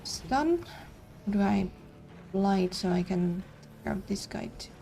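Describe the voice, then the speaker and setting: A woman, streaming a game and thinking aloud in a casual, halting way. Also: medium pitch, expressive, gravelly, warm tone, soft background music. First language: Dutch. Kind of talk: casual live-stream commentary.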